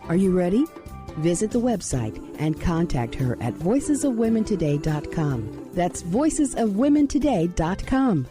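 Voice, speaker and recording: A woman reads a scripted ad with very lively, swooping intonation and a lowish pitch. Music plays clearly underneath. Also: measured pace. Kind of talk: scripted radio ad narration. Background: music bed.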